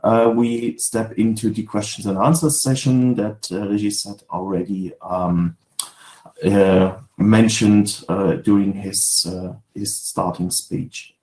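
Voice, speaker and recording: A man's voice: on the low side, flat delivery, takes it slowly, darkish tone. German man presenting from an echoey home office. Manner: hesitant, many fillers and restarts. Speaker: guest speaker, sales manager. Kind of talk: webinar presentation.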